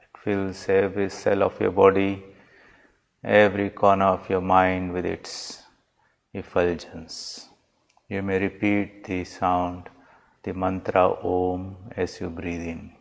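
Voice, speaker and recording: A man with a low voice guides a meditation as a swami. His delivery is very slow and even, with little rise or fall in pitch. He phrases each instruction calmly and deliberately. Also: gravelly. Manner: calm, measured, deliberate phrasing.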